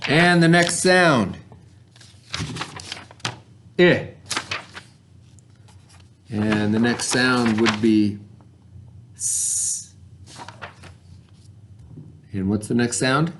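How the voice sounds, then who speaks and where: Man, primary teacher type, unhurried and patient, question-and-answer phonics delivery, faintly noisy recording. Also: mid-range voice. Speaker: elementary school teacher. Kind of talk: read-along spelling lesson for children at home.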